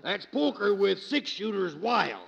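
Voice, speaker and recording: An actor playing a brash, joking Western outlaw with a gravelly, folksy drawl in old radio comedy style. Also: male voice, sits high, very animated, unhurried, highs cut off, heavily acted. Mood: sly, joking. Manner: drawling, folksy, bungling comic delivery. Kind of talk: 1940s-50s radio comedy acting. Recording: noisy, echoing old radio recording.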